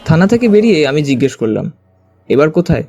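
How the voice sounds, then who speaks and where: An actor playing a detective's curious companion, narrating the story in the first person with expressive storytelling. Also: male voice, fairly high pitch, quick pace. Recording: music under the voice.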